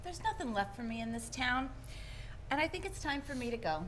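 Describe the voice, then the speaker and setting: A woman's voice: sits high, lively intonation, brisk, fairly bright tone, a little hiss or hum. A woman playing an earnest, embarrassed young lady down on her luck, in a 1940s-style radio drama. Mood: embarrassed, resolved. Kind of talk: 1940s radio play acting.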